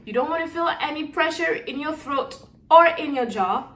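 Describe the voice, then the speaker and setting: A young adult woman teaching a singing lesson in a relaxed, encouraging way, checking in with her students and demonstrating warm-up exercises. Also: high-pitched, normal speed, slight background noise. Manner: demonstrates lip trills and sung syllables. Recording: echoey room, voice a little far from the mic.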